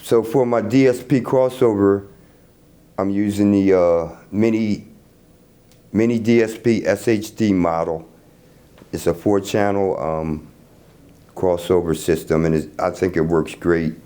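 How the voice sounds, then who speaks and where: Low, mellow middle-aged man, halting. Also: steady tone. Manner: halting, repeats words as he explains. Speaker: loudspeaker creator being interviewed. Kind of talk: casual product explanation. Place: hotel room at an audio show. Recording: noisy room ambience.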